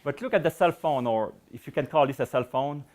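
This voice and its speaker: A middle-aged man giving a public science lecture and taking questions, talking in a loose, rambling way with many fillers, his voice going hoarse. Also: mid-range voice, fairly quick, a little mellow. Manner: rambling, many "you know" fillers and restarts.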